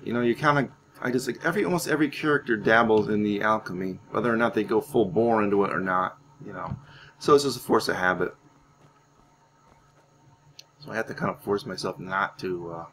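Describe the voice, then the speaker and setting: A man's voice: lowish voice, fairly quick, fairly bright tone. A man giving casual gameplay commentary, rambling and thinking aloud as he goes. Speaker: gaming channel host. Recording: faint background noise.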